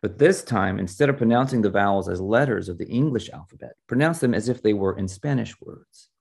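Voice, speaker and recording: Man reading as a measured, gravelly wise teacher. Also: lowish voice, a little mellow. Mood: patient, instructive. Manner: measured, formal, instructive. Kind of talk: storybook reading.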